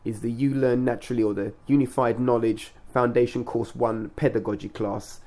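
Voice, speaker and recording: A man hosting a casual interview, speaking in a measured, earnest and affirming manner. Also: mid-range voice, steady tone, darkish tone. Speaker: radio show host and class organiser.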